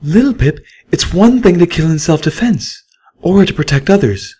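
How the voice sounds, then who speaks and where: A man voicing an idealistic young mare healer, high-pitched and earnest in an audiobook reading. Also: expressive, moderate pace, dark tone, slightly rough. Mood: pleading.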